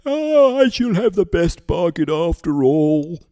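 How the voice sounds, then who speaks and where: A man's voice: high-pitched, very animated, slow, dark tone, pitched above the performer's own voice, heavily acted. A man voicing a greedy, hungry bear who schemes and mutters to himself in a children's storybook. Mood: greedy, scheming.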